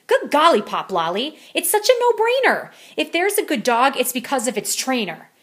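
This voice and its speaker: A woman's voice: high-pitched, very animated, normal speed, a bit bright, pitched above the performer's own voice. A woman voicing a bossy mother cat in a storybook, emphatic and dramatic. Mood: emphatic, scornful.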